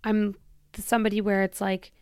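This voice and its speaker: A woman chats on her solo podcast in a smooth, clear voice recorded close and dry. Her talk is candid and rambling, full of "like" and restarts. She sounds a little tired while getting over a long illness. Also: mid-range voice, flat delivery, a little fast, darkish tone, dry recording. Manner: chatty and candid, heavy use of "like", self-interrupting. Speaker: podcast host. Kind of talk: casual solo podcast chat.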